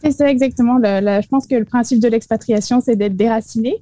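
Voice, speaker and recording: A woman's voice: fairly high pitch, quick pace, warm tone, dry recording, faint music behind. A young woman from France with a French accent tinged by Quebec, answering interview questions, hesitant, with many fillers and restarts. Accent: French with Quebec influence. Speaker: guest.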